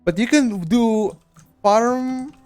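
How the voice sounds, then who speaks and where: Bulgarian guy streaming a game guide, high voice, chatty, keeps talking to chat. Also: extremely slow, a little mellow, clear, resonant tone, no room sound, soft background music. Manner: chatty, addresses chat directly, repeats himself. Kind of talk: live-streamed gameplay walkthrough.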